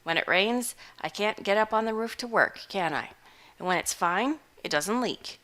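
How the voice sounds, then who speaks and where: A grizzled old farmer man, put on by a woman reading a storybook, speaking louder than the rest of the reading. He drawls slowly and mournfully in plain country grammar, placid and unhurried, like a gaunt man who has just woken up. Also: medium pitch, animated, lightly acted. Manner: slow rustic drawl, ungrammatical country speech.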